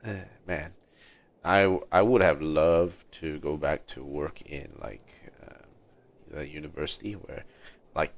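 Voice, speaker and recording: A man's voice: a bass voice, creaky. A man hosting a casual gaming livestream, talking in a hesitant, rambling way. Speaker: livestream host.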